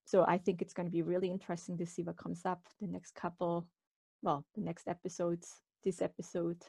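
A woman's voice: lowish voice, monotone, brisk, creaky, mellow, no echo. Woman podcast host with a dry, close sound. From Switzerland. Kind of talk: conversational podcast talk.

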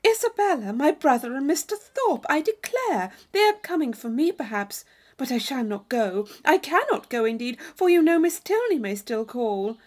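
Woman voicing an eager young lady, high-pitched. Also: sing-song, moderate pace, darkish tone. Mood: excited, resolute. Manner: earnest, exclaiming. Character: eager, anxious young lady given to dramatic despondency.

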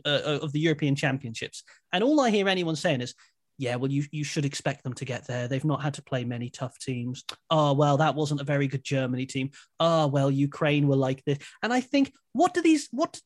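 A playful English man co-hosts a casual podcast, wry and chatty. His voice sits a little high for a man, recorded dry and close with no room sound. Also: no room sound. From England. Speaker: podcast co-host. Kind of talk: casual chat.

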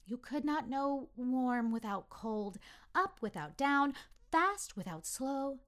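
A woman playing a kindly, wise and amused God who explains things gently and deliberately in a children's story. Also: high-pitched, lively intonation, pitched above the performer's own voice. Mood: teaching.

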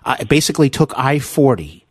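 Animated American man hosting an interview. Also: mid-range voice, husky, dry recording, clean studio sound. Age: middle-aged. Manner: effusive, halting with repeated words. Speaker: podcast host, interviewer. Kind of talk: conversational interview.

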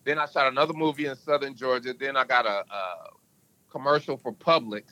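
Animated man telling stories, bright tone. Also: a little fast. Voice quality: can go deep. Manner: animated storyteller, emphatic. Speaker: podcast guest. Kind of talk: casual interview talk.

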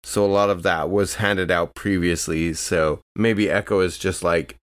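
Casting: male tabletop player, mid-to-low voice, dry close sound, casual talk. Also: steady tone, unhurried, dry recording. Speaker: tabletop role-playing game player. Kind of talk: casual in-game chat.

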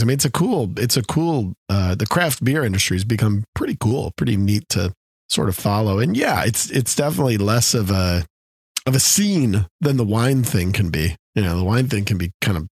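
A man with a low, slightly gravelly voice chats casually as a podcast co-host. He rambles, backtracks and fills pauses with ums. The sound is close and dry, with no room around it. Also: no echo. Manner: rambling, many restarts and fillers. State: slightly gravelly after illness. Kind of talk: casual chat.

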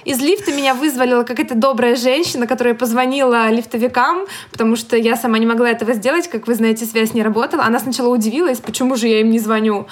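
High-pitched woman telling funny stories casually. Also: fast talker, few pauses. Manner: self-deprecating, rambling, lighthearted. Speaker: podcast co-host. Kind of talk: casual humorous storytelling.

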